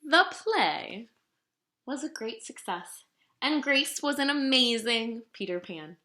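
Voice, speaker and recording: A woman reading a children's picture book aloud in an expressive, dramatic storytelling manner. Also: fairly high pitch, slow pace. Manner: animated, dramatic storytelling delivery. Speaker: storybook reader. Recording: roomy, echoing room sound.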